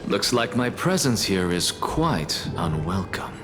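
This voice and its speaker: A man's voice: sits low, sing-song, slow, heavily acted. An actor voicing a composed, sarcastic male scholar who speaks with dry wit, in a video game with music behind. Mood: sardonic. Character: sardonic male scholar, calm and cutting.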